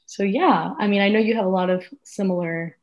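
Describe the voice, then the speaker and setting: A woman's voice: mid-range voice, fairly quick, darkish tone, highs cut off. A young woman from South Florida, a podcast co-host and activist, telling her own story in an earnest, reflective way. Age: young adult. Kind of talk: casual personal storytelling.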